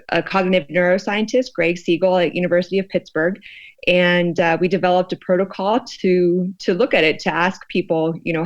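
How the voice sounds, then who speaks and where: A woman explaining her research in an interview, in a thoughtful, halting, conversational way. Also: on the low side, muffled recording. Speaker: guest, sociologist and fear researcher.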